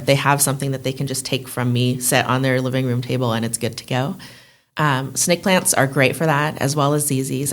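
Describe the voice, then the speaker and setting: A woman with a distinctly low voice talks as a guest in a casual interview. Her way of speaking is chatty and informal, like everyday conversation. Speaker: guest, plant shop owner.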